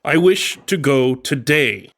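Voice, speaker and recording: A man's voice: medium pitch, expressive, slow pace, creaky, fairly bright tone, heavily acted. An actor playing a tense, defiant man trapped as a guest, terse, firm and guarded, in an audiobook drama.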